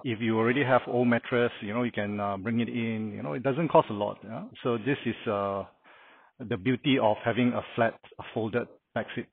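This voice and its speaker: A middle-aged man shows off a car fitted for camping, talking plainly and pausing on uh fillers. His voice is a little husky and sits at an ordinary pitch. The sound is thin and dry, as if caught on a phone. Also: steady tone, dry recording, phone-quality sound. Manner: plain, instructive, with frequent uh fillers. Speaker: vlogger demonstrating a car camping setup. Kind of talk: casual how-to walkthrough. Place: outdoor carport beside a house.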